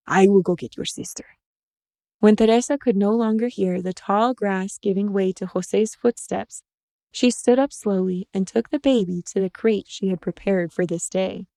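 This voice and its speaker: A woman narrates literary fiction from a script. Her voice is mid-pitched and mellow. The recording is very dry, with little room sound. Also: creaky, no room sound. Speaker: short story narrator.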